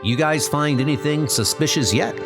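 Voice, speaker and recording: A man's voice: on the high side, moderate pace, music in the background, natural voice. A man voicing a laid-back, wisecracking superhero in a comic book dub, casual and flippant. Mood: breezy.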